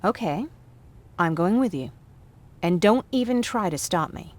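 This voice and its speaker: A woman's voice: fairly low pitch, animated, a little slow, darkish tone. A woman playing a feisty, protective young scavenger and older sister in a game, blunt and challenging. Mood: brisk, challenging.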